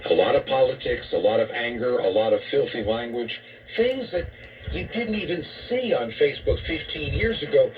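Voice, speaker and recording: A man's voice: mid-range voice, expressive, normal speed, far from the mic. Heard through a dull shortwave radio signal with faint noise, a man holds forth as a talk radio host. His delivery is emphatic and disapproving, built around rhetorical questions. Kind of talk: opinionated talk radio monologue. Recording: muffled, noisy shortwave radio broadcast.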